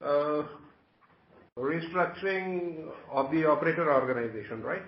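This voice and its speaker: A man with an Indian accent, a company executive explaining things haltingly on an earnings call. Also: a little high. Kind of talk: answering analyst questions on an earnings call. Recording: muffled, echoey conference call with noise.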